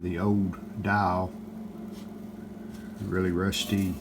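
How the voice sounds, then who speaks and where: Slow, low-voiced man demonstrating repairs. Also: level tone, background noise. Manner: unhurried, plainspoken, pausing mid-sentence. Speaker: host of a restoration how-to video. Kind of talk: casual hands-on demonstration narration.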